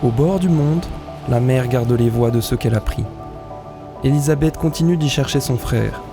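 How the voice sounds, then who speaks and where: A man narrating a scripted French sea tale in a solemn, measured, poetic manner. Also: medium pitch, music in the background.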